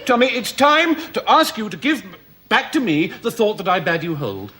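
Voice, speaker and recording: A florid, pompous language pundit. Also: male voice, high voice, big pitch swings, normal speed, bright, forceful tone, full character voice. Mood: lecturing. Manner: florid, grandiose, self-delighted. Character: pompous rambling language-loving pundit, middle-aged man. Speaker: sketch comedy character. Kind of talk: studio sketch comedy.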